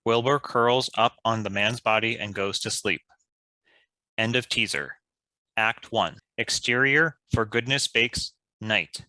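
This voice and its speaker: A man with a crisp-edged, bright voice on the low side reads out screenplay directions as narrator. The sound is dry and close. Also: unhurried, no room sound, low-quality recording.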